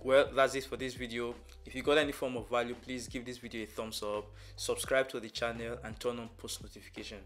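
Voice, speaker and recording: A young man speaks at an average male pitch as he presents a tutorial. His delivery is measured and methodical, explaining each step in order to the viewer. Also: little pitch movement, a bit bright. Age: young adult. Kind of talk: instructional explanation to camera. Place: small furnished room indoors.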